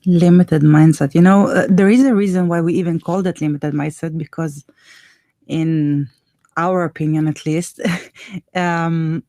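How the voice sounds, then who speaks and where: A woman's voice: sits low, steady tone, measured pace, mellow, no room sound, clean studio sound. A woman with a Hebrew accent, co-hosting a talk show and earnestly explaining ideas. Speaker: podcast co-host. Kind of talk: casual chat.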